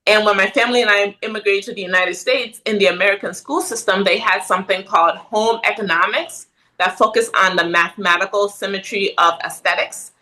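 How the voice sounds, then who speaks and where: A middle-aged woman from Ghana, a podcast guest talking in a casual interview, animated and often ending with "right?". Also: medium pitch, a little fast, poor audio. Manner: animated, frequent "right?" tag questions.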